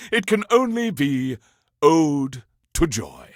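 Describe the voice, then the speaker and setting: A man's voice: fairly high pitch, very animated, very slow, no room sound, studio quality, heavily acted. An actor playing a pompous alien emperor who hosts a classical radio station in a video game, speaking in grandiloquent, condescending, theatrical patter and savoring his words. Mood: pompous, lecturing.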